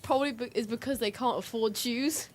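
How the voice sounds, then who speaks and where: A woman's voice: a little high, brisk. A woman in casual chat as a show panelist, telling anecdotes in a hesitant way. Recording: clear background noise.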